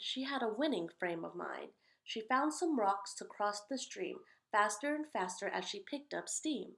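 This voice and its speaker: A woman with a medium-pitched voice reads a picture book aloud for children. She gives the storybook lines expressive emphasis, with a casual, conversational touch as host. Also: vocal fry.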